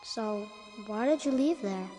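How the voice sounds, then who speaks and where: Innocent, curious colony child, radio drama. High, slow, wondering delivery in long reverb. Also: female voice, expressive, warm tone. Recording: long reverb, music underneath.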